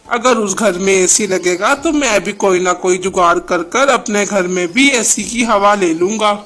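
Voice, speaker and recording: Man voicing a high-pitched, bright, scheming cartoon crow. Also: measured pace. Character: scheming freeloading male crow, sly and self-satisfied. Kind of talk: children's cartoon story dialogue.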